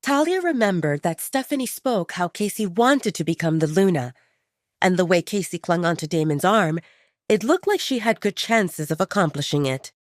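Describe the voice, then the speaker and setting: Expressive woman narrating an audio story. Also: lowish voice, moderate pace, no room sound, studio quality.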